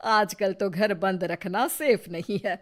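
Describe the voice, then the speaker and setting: A woman playing a sharp-tongued, suspicious middle-aged mother-in-law in a cartoon drama, scornful and defensive. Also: mid-range voice, takes it slowly, close to an everyday voice.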